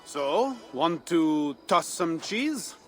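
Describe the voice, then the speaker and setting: A flirtatious Frankish bon vivant in a game cutscene. His fairly high voice swings up and down with theatrical, teasing charm. Soft music sits behind him. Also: very animated, very slow, full character voice. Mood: playful. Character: playful, flirtatious, pleasure-loving Frankish man. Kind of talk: dramatic video game cutscene acting. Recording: music under the voice.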